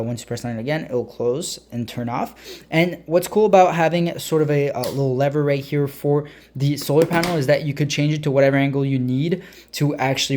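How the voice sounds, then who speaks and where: A man giving a casual hands-on product review as a video host, explaining informally with frequent hesitant uh pauses. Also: mid-range voice, darkish tone, slight background noise.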